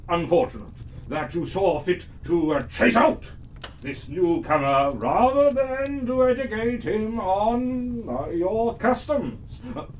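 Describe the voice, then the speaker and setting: Performer as old fairytale wizard, male, calm and reasoning, hesitant, lively intonation, recorded a little far from the mic. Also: medium pitch, slight background noise. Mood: gently reproving. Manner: halting, hesitant, with drawn-out pauses. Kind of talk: children's fairytale storytelling.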